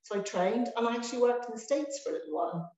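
A woman from Ireland, a health service director talking as a guest in an interview, measured and often restarting her phrases. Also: a little high, warm tone, roomy sound, dull audio. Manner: measured, with frequent restarts and fillers. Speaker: NHS trust managing director, guest. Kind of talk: interview conversation.

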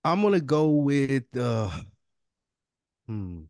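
A man's voice: mid-range voice, very animated, slow pace, a little mellow, clear, resonant tone, no room sound, studio quality. A man co-hosting a podcast, bantering in an informal, slangy way as he thinks aloud. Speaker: podcast co-host. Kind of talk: casual banter about a TV series fantasy draft.

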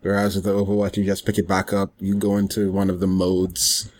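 Lowish-voiced man chatting on a podcast. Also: monotone, brisk, darkish tone. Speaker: podcast co-host. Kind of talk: casual podcast chat.

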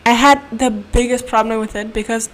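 A woman's voice: a little high, fairly even intonation, normal speed. Chatty young woman with a German accent. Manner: chatty, giggly, playful asides. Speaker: podcast host. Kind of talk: casual solo podcast chat. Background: faint room ambience, cat nearby.

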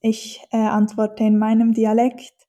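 A woman's voice: on the high side, completely flat delivery, measured pace, mellow. A woman from German-speaking Switzerland with a Swiss accent, a dialect teacher answering interview questions thoughtfully, with many fillers and restarts.

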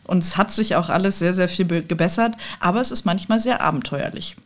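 A woman's voice: on the low side, brisk. A woman from the Rhineland, talking candidly as an interview guest with self-deprecating humour. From Rhineland, Germany. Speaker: podcast guest, technical sales professional. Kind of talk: conversational interview, telling anecdotes.

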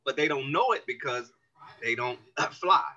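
A man, a community organization leader, explaining his views as a guest in a podcast interview, animated and earnest. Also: medium pitch, normal speed, highs cut off.

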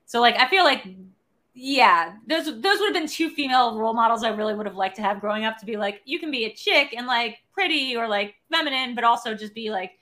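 Bright-voiced woman rambling about films. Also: on the high side, lively intonation, brisk. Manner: rambling, heavy use of "like". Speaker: podcast and stream co-host. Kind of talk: casual film chat.

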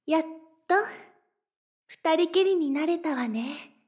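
Casting: obsessive lovestruck young woman, extremely high pitch, breathy, sweetly menacing, anime audio drama. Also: takes it slowly, darkish tone. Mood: rapturous. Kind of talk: Japanese anime-style voice drama acting.

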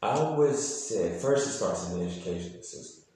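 A man's voice: lowish voice, expressive, moderate pace, a little mellow. A man of twenty-one talking casually as an interview guest, trailing off and repeating phrases. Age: 20s. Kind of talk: casual conversation. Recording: echoey room from a distance, faint noise.

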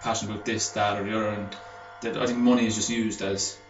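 A man's voice: lowish voice, flat delivery, fast, faint music behind. Young Irish man in casual chat. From Ireland. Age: 20s. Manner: rambling, many fillers and restarts. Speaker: guest, gym owner and personal trainer. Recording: roomy, dull sound with faint ambience.

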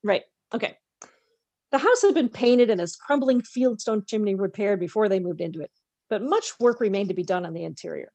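A woman's voice: mid-range voice, lively intonation, fairly quick, dark tone, dry recording. A woman co-hosting a podcast, expressively reading a novel aloud with casual, conversational asides.

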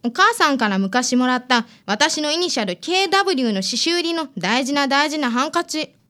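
A stubborn young woman consulting a detective in a radio drama, acted by a woman. Her voice is high and moves animatedly in pitch. She talks in a casual, youthful way. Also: expressive, brisk, bright, forceful tone. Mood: hesitant.

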